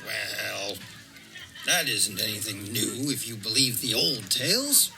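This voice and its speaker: A man's voice: fairly low pitch, sing-song, takes it slowly, creaky, heavy background noise, full character voice. A man playing a stubborn, scoffing rustic hobbit in an audio drama, mocking and dismissive. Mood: scornful. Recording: loud music behind.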